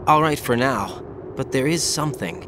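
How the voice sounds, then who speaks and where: A man's voice: mid-range voice, expressive, normal speed, noisy background, lightly acted. An actor in a video game cutscene playing a troubled young male soldier, intense and questioning. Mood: uneasy.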